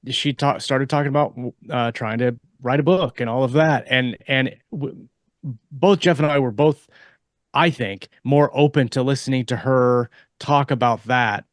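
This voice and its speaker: A man's voice: mid-range voice, unhurried, no room sound. Joking man in a close, dry recording. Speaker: podcast co-host and author. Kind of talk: casual podcast chat.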